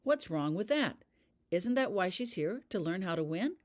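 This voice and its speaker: A woman's voice: medium pitch, big pitch swings, normal speed, voice pushed up, lightly acted. A woman voicing a confident, frank teenage schoolboy wizard in an audiobook. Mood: confident, puzzled. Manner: frank, self-assured.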